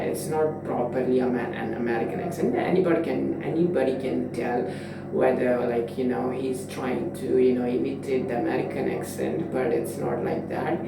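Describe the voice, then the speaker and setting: A young man from Nepal muses aloud in his twenties, wandering through thoughts with endless fillers and false starts. His voice has a gravelly edge and sits far from the mic in a strongly echoing room, with steady background noise. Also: mid-range voice. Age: 20s. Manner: rambling, full of "you know" fillers and restarts. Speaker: vlogger. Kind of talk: casual reflective monologue.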